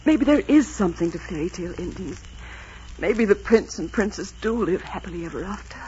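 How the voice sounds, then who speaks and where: An actress playing a young innkeeper's daughter, devoted and anxious, in 1940s radio melodrama style. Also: female voice, mid-range voice, lively intonation, brisk, mellow, lightly acted. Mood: tender, anxious. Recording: noisy, dull 1940s radio recording.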